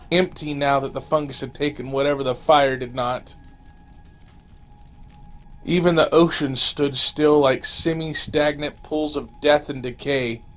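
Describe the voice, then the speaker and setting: A man reading a scripted first-person horror story as an audio drama narrator, grave and dramatic with deliberate phrasing. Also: fairly high pitch. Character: male survivor recounting a zombie fungus apocalypse. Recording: amateur narration over music. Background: music.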